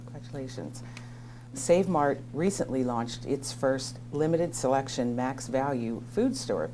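Very low-voiced female interview host. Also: animated, unhurried, dark tone, a little hiss or hum. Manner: polished, brief questions and affirmations. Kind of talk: scripted business interview hosting. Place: studio.

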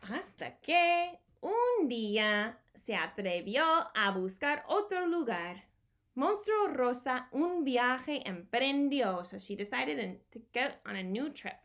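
A woman's voice: sits high, sing-song, slow, fairly bright tone. A woman in her thirties presenting a bilingual picture-book storytime for young children, expressively explaining and repeating key words. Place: small home room with bookshelves, indoors.

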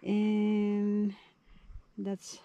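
A woman's voice: mid-range voice, completely flat delivery, extremely slow, warm tone. A woman from the Philippines with a Filipino accent, chatting casually on a hiking vlog and admiring the view with short repeated exclamations, recorded outdoors on a phone. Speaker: vlogger. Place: outdoors on a canyon trail.

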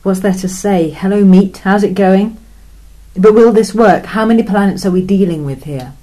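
A skeptical alien official, voiced by a woman, with a warm, mellow tone at a middle pitch. She questions everything with wry incredulity, in a science fiction story read aloud. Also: moderate pace, natural voice, rough recording. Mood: incredulous.

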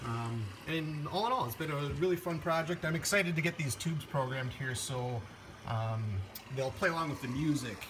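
A man's voice: on the high side, moderate pace, very noisy. A man giving a casual, unscripted show-and-tell of a hobby project, thinking aloud as he goes. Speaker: hobbyist maker presenting a project. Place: indoor room, workshop or office. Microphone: handheld phone or camera, operator behind it. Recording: music playing loudly over the voice. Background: radio music.

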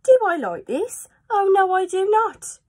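Woman as a storybook pet fish, high voice, anxious, indignant, bossy, protesting. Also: very animated, measured pace, a little mellow, exaggerated voice. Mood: whiny, upset. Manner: exclaiming, protesting. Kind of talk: children's storybook read-aloud.

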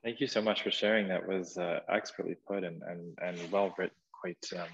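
A man's voice: low-pitched, fairly even intonation, brisk, vocal fry, lo-fi sound, slight background noise. A man in his thirties moderating a panel over earbuds, stammering and repeating words. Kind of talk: panel discussion. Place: gallery space, indoors. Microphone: wireless earbuds.

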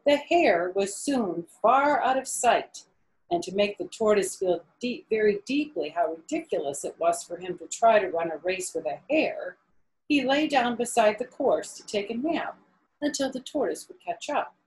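A woman's voice: medium pitch, animated, moderate pace, low-quality recording. A middle-aged woman reading and explaining a story to children, using simple explanations and questions for young listeners. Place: indoors, small living room on a sofa.

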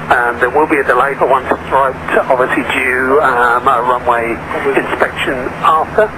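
A man's voice: mid-range voice, fairly even intonation, normal speed. A man working as an air traffic controller, giving instructions to pilots over aviation radio. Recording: noisy aircraft radio transmission.

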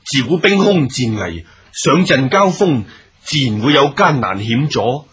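A man's voice: mid-range voice, sing-song, measured pace, fairly bright tone, husky, full character voice. A male actor playing a loyal, brave junior general in a period radio drama, earnest and deferential in Hong Kong Cantonese. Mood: resolute, devoted. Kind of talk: theatrical radio drama acting.

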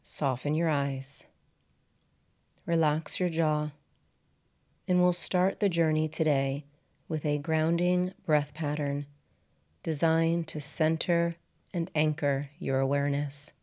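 A woman guiding a meditation as a yoga nidra teacher, in a soothing, measured manner with deliberate pauses between short phrases. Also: low voice, fairly even intonation, a little mellow. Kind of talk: guided meditation narration.

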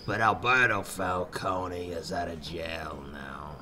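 A man voicing a bitter, menacing older corrupt police boss in a comic fan dub, condescending and drawn-out. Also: sits low, steady tone, sharp tone, slight background noise, full character voice. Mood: sneering.

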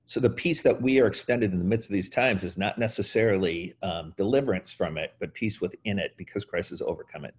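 A middle-aged man with a husky, lowish voice talks thoughtfully through a headset mic. He is on a video call from home and draws carefully on what he has read. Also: brisk, hardly any pauses. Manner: thoughtful, quotes sources at length. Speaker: podcast co-host discussing preaching. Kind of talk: casual podcast discussion.

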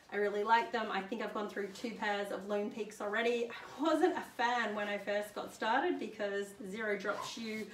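A woman's voice: mid-range voice, a bit bright. A young woman chatting casually through her hiking gear at home, with many ums, standing back from the camera in her living room. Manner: chatty, upbeat, many "um"s, holds up items while talking. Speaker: hiker presenting her gear list. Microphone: camera standing a few metres away. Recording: roomy, distant camera mic, faint hiss.

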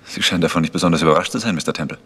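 Ein Schauspieler, der in einem Krimihörspiel einen trockenen, skeptischen Polizeiermittler spielt. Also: male voice, low voice, rapid-fire, a bit bright, husky. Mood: dry. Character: dry, sceptical male police investigator. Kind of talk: vintage German radio crime drama acting.

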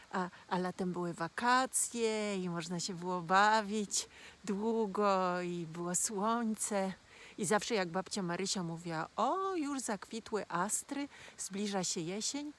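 A woman gently telling a children's fairy tale as a storyteller. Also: mid-range voice, slight background noise.

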